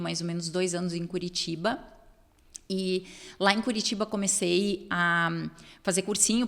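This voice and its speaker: German-born southern Brazilian woman, low voice. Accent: southern Brazilian Portuguese with German roots. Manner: storytelling, reflective, warm affirmations. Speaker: podcast co-host. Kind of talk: casual podcast conversation. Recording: long hall reverb.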